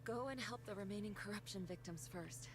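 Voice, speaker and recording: An actress playing a calm, authoritative martial arts master in a video game, speaking in a measured, formal way. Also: female voice, medium pitch, noisy background, natural voice. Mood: weary, composed. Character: composed woman martial master, calm, commanding, self-sacrificing. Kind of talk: dramatic video game voice acting.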